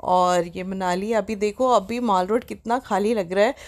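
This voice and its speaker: Chatty excited young woman vlogger. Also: medium pitch, moderate pace. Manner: chatty, excitable, mixes English into Hindi. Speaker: travel vlogger. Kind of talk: casual travel vlog voice-over.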